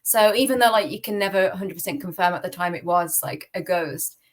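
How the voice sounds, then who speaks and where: A woman's voice: fairly low pitch, brisk. A woman from the UK with a British accent, giving personal testimony as an interview guest in a candid, informal way, often using filler phrases like "kind of". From United Kingdom. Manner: candid, conversational, peppered with "like" and "kind of".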